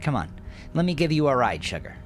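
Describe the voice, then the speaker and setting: A brash gangster of an audio drama, acted out by a male narrator, teasing and sure of himself. His pitch jumps up and swings widely over clearly audible music, with drawling, amused exclamations. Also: big pitch swings, quick pace, natural voice. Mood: casually friendly. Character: swaggering, cocky, amused male gangster, foul-mouthed and casually charming.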